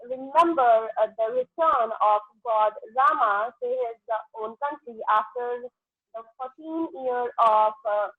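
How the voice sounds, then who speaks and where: Indian woman, 30s, Punjabi native, candidate answering haltingly; husky voice, tinny phone audio. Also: a little high, expressive, no echo. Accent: Indian English. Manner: hesitant, many fillers and restarts. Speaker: exam candidate, dentist. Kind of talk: mock exam answers.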